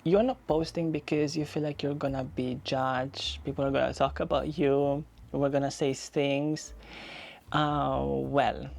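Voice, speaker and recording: A man's voice: medium pitch, little pitch movement, measured pace, vocal fry, darkish tone. A man in his thirties, raised in Spain, talking casually and reflectively to camera in a personal vlog, repeating phrases for emphasis. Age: 30s. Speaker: YouTuber.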